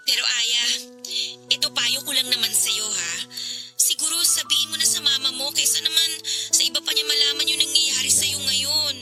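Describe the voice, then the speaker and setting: A concerned teenage best friend in a radio drama. Her voice is high and extremely bright, earnest and insistent, over faint music. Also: exaggerated voice. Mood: indignant, urging. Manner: earnest, urging, caring.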